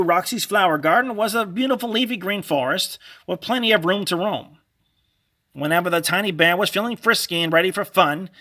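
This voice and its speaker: A man narrating a children's storybook read-along in a dramatic, expressive, animated way. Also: sits high.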